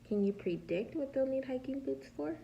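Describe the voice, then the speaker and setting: A woman preschool teacher reading a picture book aloud to young children in a patient, teacherly way, pausing to ask simple questions. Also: sits high, expressive, vocal fry, mellow, lo-fi sound, a little hiss or hum.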